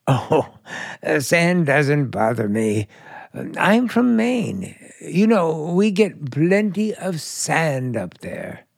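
Folksy male stranger, forward and flirty, slow swooping delivery, creaky voice, radio drama. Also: fairly high pitch, sing-song, dry recording, clean studio sound, exaggerated voice. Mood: chatty, probing. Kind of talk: radio play acting.

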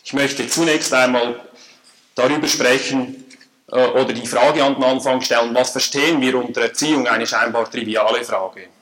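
A man with a Swiss German accent giving a formal lecture at a conference, measured and dryly humorous. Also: mid-range voice, fairly even intonation, fairly bright tone, poor audio. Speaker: conference speaker. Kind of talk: academic lecture. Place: lecture hall.

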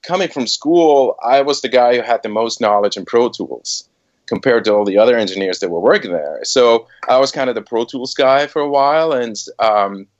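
A man's voice: medium pitch, moderate pace, highs cut off. A middle-aged man, a music producer, telling his career story in a casual podcast interview, hesitant with many fillers. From Switzerland.

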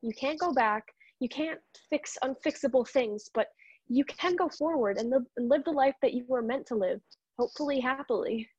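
A woman's voice: high-pitched, normal speed, a little mellow, slightly rough, no room sound, muffled recording. A woman playing a frank, encouraging younger dream self in a radio drama, speaking directly and insistently.

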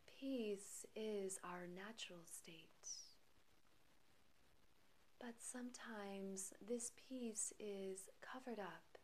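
A woman guides a meditation at a very slow pace. Her medium-pitched voice is soft and breathy. She speaks gently and calmly, leaving long pauses between short phrases. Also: slight background noise, poor audio. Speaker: meditation guide and podcast host.

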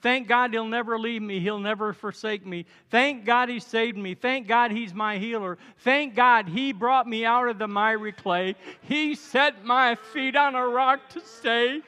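A man's voice: very high-pitched, measured pace, hardly any pauses, clear, resonant tone. A man of seventy preaching as a pastor, exhorting the congregation in repeated declarations of thanks and praise. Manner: exhorting, repetitive declarations, breaking into shouted praise. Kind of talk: sermon and altar call. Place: large church hall. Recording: hall reverb with music behind.

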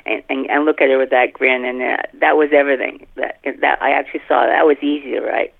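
A woman's voice: a bass voice, steady tone, fast, creaky. A woman author chatting as an interview guest, wry and emphatic, repeating phrases, heard over a phone line. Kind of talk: casual interview chat. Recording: narrow, tinny phone line.

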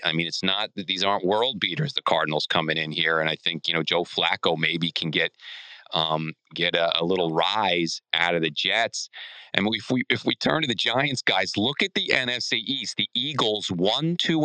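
A man's voice: low voice, bright, forceful tone, smooth, no room sound, low-quality recording. A man co-hosting a sports podcast in casual discussion, often restarting his sentences midway.

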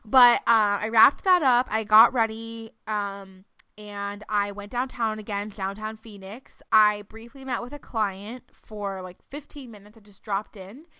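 A young woman in her twenties chats casually on a podcast, leaning on fillers as she talks. Her voice is bright and crisp, a little congested, and recorded dry and close with no room sound. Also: mid-range voice, steady tone, a little slow, no room sound. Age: 20s. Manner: chatty, lots of fillers. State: slightly congested. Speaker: podcast co-host. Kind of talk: casual chat.

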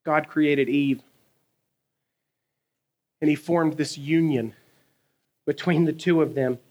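A man, preaching a sermon in a conversational, animated way, asking his listeners questions and telling stories from his own life. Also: on the high side, slow pace, dull audio. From American South. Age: 30s. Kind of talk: sermon with personal storytelling.

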